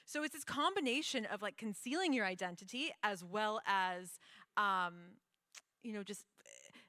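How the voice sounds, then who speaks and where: A woman's voice: medium pitch, moderate pace, bright, forceful tone, dry recording, clean studio sound. A young woman from the United States, a guest in a conversation, telling her own story earnestly with frequent laughs and fillers. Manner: earnest, laughs often, many fillers and restarts.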